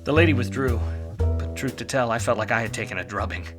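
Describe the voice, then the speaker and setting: An actor playing a hard-drinking, wisecracking, jaded 1940s private detective in a film noir radio play, with sardonic, slangy delivery. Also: male voice, medium pitch, quick pace, over music, close to an everyday voice. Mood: wry, brooding narration.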